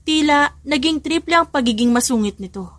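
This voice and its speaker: A woman's voice: sits high, lively intonation, brisk, fairly bright tone, no echo. A woman giving a scripted, dramatic narration of a story as an audiobook narrator. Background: background music.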